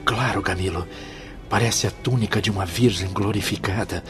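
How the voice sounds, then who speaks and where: A man plays a wondering, devout male spirit with a very low voice and a Brazilian accent. He sounds reverent and theatrical, radio soap style, with music clearly playing behind him. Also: normal speed, close to an everyday voice. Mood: awed. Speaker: actor in a radio drama.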